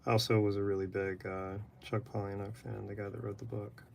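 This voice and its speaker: Man, monotone drawing-stream talker, gravelly fry, very flat delivery. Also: fairly low pitch, brisk, a little hiss or hum. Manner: monotone, rambling. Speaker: artist drawing live. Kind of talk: casual chat while drawing. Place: apartment room.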